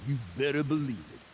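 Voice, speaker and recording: A man voicing a nervous, boastful adventurer in a video game, gravelly and stammering. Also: fairly high pitch, a little mellow, a little hiss or hum, low-quality recording.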